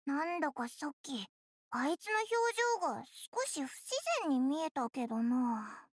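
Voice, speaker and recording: A woman voicing a tiny, childlike, brash fairy companion in an anime game, with a squeaky voice and blunt boyish speech. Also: expressive, unhurried, no echo, clean studio sound. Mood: suspicious.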